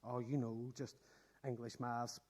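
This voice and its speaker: A woman's voice: very deep voice, little pitch movement, unhurried, darkish tone. A woman acting in a radio play as an easygoing teenage schoolboy who banters and hesitates as he pesters a classmate. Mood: bantering.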